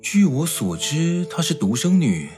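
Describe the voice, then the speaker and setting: A man's voice: fairly high pitch, sing-song, a little mellow, faint music behind. Composed, considerate young man. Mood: calm, thoughtful. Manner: measured, polite. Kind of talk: Mandarin audio drama acting.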